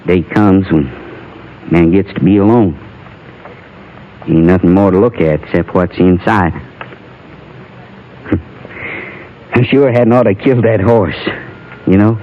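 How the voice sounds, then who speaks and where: A man's voice: low-pitched, slow, pauses often, a little hiss or hum. An American actor playing a bitter, wistful condemned outlaw in his thirties, with a rustic frontier drawl, in an old radio western. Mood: resigned. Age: 30s. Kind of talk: 1950s radio western acting. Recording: dull lo-fi vintage radio recording.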